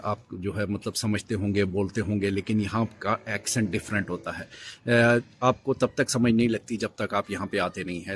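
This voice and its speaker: A man's voice: lowish voice, a little hiss or hum. A man giving casual advice as a video host, with many fillers and restarts.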